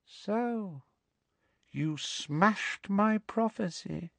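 A man voicing a gaunt, menacing dark lord in an audiobook, speaking softly in a high, cold voice with quiet menace. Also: wildly swooping pitch, very slow, no echo, exaggerated voice. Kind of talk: audiobook character voice.

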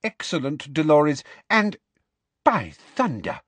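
Pompous male minister, high strained pitch, blustering, excitable, stammering, audiobook character. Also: slow, slightly rough, dry recording, heavily acted. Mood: gleeful.

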